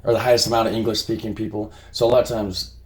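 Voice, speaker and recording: A man's voice: fairly low pitch, little pitch movement, racing, low-quality recording. A man with a Midwestern American accent, co-hosting a casual reaction chat and rambling with fillers and restarts. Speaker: reaction channel co-host.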